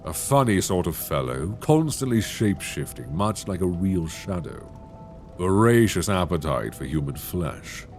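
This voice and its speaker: Male actor as an ancient, towering dragon for an ASMR roleplay; low, unhurried, seductive and menacing, close binaural mic. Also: big pitch swings, a little mellow, faint music behind. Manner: slow, intimate, coaxing and menacing.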